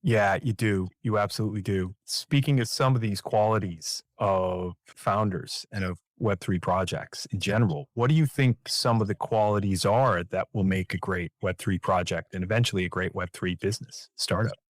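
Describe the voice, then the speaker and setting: Man, fairly low voice, dry sound, podcast host, affirming, long setup questions. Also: moderate pace, hardly any pauses, no room sound. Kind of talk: fireside chat interview.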